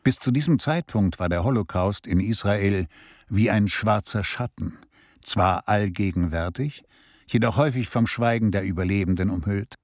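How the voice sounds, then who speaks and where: An actor playing a sober Israeli radio journalist, narrating a documentary radio play in a measured, deliberate way. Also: male voice, lowish voice, dry recording, clean studio sound.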